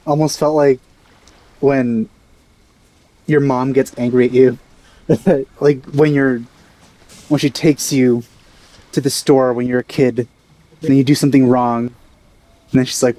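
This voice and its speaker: A man's voice: mid-range voice, fairly even intonation, a little slow, long pauses, warm tone, rough recording. A man casually commenting on a video game as a let's play host.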